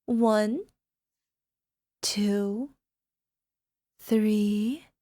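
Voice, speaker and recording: A woman playing a young, sweetly possessive yandere alchemist girlfriend in an ASMR roleplay, coaxing softly and sometimes slipping toward a whisper. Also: mid-range voice, fairly even intonation, very slow, dry recording, studio quality. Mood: smug, possessive. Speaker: voice actor. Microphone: close microphone, dry.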